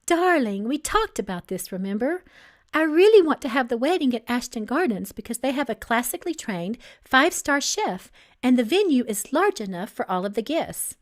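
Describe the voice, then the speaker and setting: Storybook bride-to-be played by a woman reader: young, pampered, high-pitched, flustered, coaxing, very animated. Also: moderate pace. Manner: flustered, stammering, pleading. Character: pampered, wheedling young bride-to-be, nervous and manipulative.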